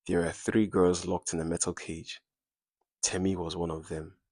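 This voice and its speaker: A man's voice: deep voice, little pitch movement, moderate pace, darkish tone, no echo. A man narrating a scripted dramatic story in suspenseful, short clipped phrases.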